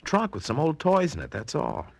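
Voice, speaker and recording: A man's voice: a little high, huge pitch swings, normal speed, vocal fry, husky, heavily acted. An actor playing an easygoing, skeptical man in his thirties, breezy and colloquial, in 1940s radio style. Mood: sleepy exasperation.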